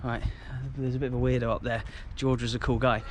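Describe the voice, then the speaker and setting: A man's voice: medium pitch, flat delivery, quick pace, slight background noise. An English man filming a football travel vlog, walking round a stadium outdoors and exclaiming about it to a handheld camera. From England. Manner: enthusiastic, exclaims often.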